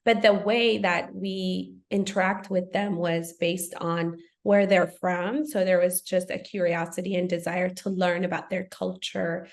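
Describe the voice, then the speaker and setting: A woman from Ethiopia, a podcast guest, speaking in a thoughtful, earnest way with frequent fillers. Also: lowish voice. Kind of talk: podcast conversation.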